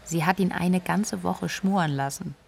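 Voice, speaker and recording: Independent young woman, fry-edged and low, frank radio-play testimony. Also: normal speed. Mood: matter-of-fact. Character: independent single young woman, candid about missing flatmate. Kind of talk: German radio drama, interview-like monologue.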